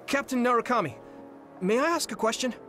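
A woman's voice: fairly high pitch, lively intonation, a little fast, a bit bright, faint music behind. A woman playing a polite, hesitant young recruit in an anime game, formal and tentative. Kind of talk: English anime-game voice acting.

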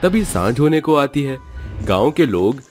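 A man's voice: mid-range voice, sing-song, normal speed, a little mellow, soft background music. A man reading scripted narration for a cartoon village story as its storyteller.

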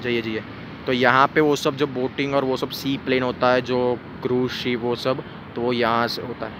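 A man's voice: mid-range voice, little pitch movement, moderate pace. A young man from India narrating a casual vlog to a phone camera held at arm's length outdoors, joking with his friends. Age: young adult. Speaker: vlogger. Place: outdoors on a city street and riverfront. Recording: noisy outdoor phone recording. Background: traffic and street noise.

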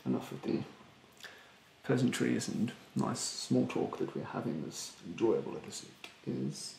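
A young man playing a rude, condescending facial therapist in an ASMR roleplay, speaking softly and breathily in a haughty, halting manner. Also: mid-range voice, big pitch swings, echoey room, a little hiss or hum, full character voice, poor audio. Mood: snide, dismissive. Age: young adult. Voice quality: soft, breathy, partly whispered. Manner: haughty, halting, drawn-out delivery. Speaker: ASMR performer playing a beautician. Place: bedroom, indoors.

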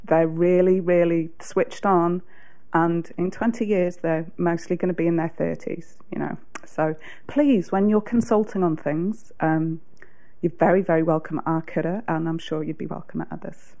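A New Zealand woman with a big, loud voice and a fairly low pitch. She speaks as a teacher addressing a council meeting, chatty and full of fillers and restarts. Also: steady tone, moderate pace. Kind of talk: public submission at a council meeting. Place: council chamber.

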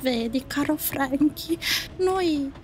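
A woman's voice: sits high, takes it slowly, a little mellow, slight background noise. A woman voicing a doting, melodramatic female fairy in an audio drama, gushing theatrically. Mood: sweet.

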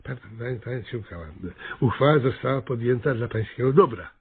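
An actor playing a pompous, flustered small-town mayor in a comic radio play, officious and hesitant in manner. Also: male voice, mid-range voice, moderate pace, slightly rough. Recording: thin, phone-like radio play recording.

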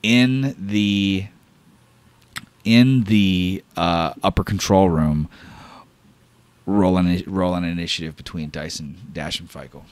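A man's voice: sits low, slow, long pauses, darkish tone, no room sound. A man narrating an improvised tabletop sci-fi horror game as game master, in a casual, profane, dramatic way.

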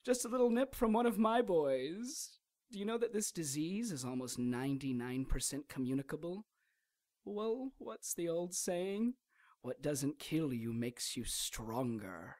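A gloating villainess of radio drama, who taunts her enemy. She lingers slowly over her words with smug theatrical relish, her pitch sweeping widely. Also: animated, a little mellow. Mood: smug, menacing. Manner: taunting, theatrical, drawn-out delivery. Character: gloating villainess, smug imprisoned would-be ruler.

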